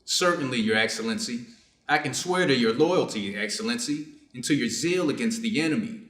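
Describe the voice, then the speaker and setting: A man's voice: medium pitch, animated, brisk, fairly bright tone, natural voice. A man playing a reserved, conflicted Japanese doctor in a staged reader's theater drama, formal and guarded in his phrasing. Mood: deferential. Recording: roomy, echoing space.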